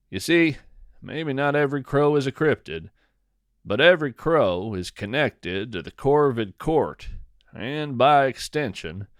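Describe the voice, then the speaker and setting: A man narrating a scripted, whimsical nature story in a measured, dramatic storytelling manner with deliberate pauses. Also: medium pitch, no room sound, studio quality. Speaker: podcast host and narrator.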